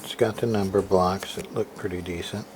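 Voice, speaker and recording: A man giving a casual, unscripted show-and-tell of equipment in a halting, matter-of-fact way, as if muttering to himself. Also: deep voice, fairly even intonation. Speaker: hobbyist radio repairer, video host. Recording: clearly noisy amateur recording.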